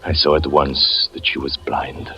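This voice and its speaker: A man playing a brooding magician who narrates his story in a 1940s radio drama, slow and solemn. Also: very deep voice, little pitch movement, a little hiss or hum. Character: brooding male magician and fortune teller narrating his past. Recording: dull 1940s radio broadcast with music.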